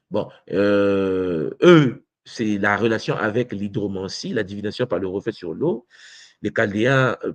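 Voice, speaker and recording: A man's voice: on the low side, gravelly, no echo. A man from Congo, lecturing an online audience and addressing listeners directly, with frequent hesitations and tag words. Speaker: teacher of traditional spirituality.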